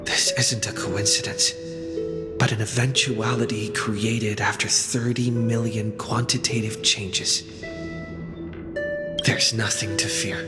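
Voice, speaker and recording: An actor playing a solemn, resolute hero in a video game, with a grave and deliberate delivery. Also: male voice, lowish voice, little pitch movement, loud music behind the voice. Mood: solemn resolve. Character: solemn, resolute male hero pondering his destiny. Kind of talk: dramatic game voice acting.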